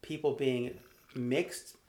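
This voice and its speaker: A man being interviewed, answering with pauses, fillers and laughs, who grew up in Nevada. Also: medium pitch, fairly even intonation, darkish tone, reverberant. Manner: hesitant, laughing, lots of fillers. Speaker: interview guest. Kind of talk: casual conversation.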